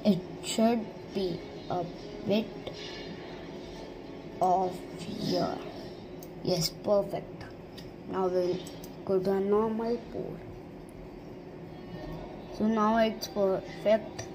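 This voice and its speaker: Slow-talking woman teaching digital drawing. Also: medium pitch, steady tone, poor audio. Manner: simple instructions in short broken phrases. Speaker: art teacher. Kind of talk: step-by-step drawing tutorial. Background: background music.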